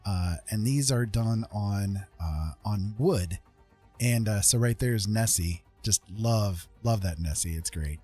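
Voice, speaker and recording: A man hosting a livestream in casual chat, talking in a rambling, halting way and often saying "you know". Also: on the low side, mellow, husky, faint music behind. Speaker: livestream host.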